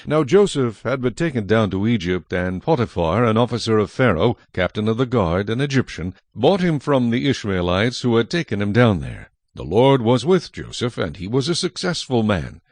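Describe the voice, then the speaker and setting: A man's voice: lowish voice, big pitch swings, normal speed, few pauses, no room sound. A man reading scripture as the narrator of a dramatized audio Bible.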